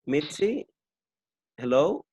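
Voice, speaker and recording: Slightly high-voiced man moderating questions. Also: very animated, slow, a little mellow, slightly rough. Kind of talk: casual moderating.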